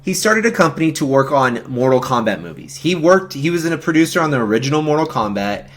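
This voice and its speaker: A man hosting a casual film commentary stream, talking in a halting, rambling, slangy way. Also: fairly high pitch, sing-song, fairly bright tone. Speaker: host of a film commentary stream, amateur horror filmmaker.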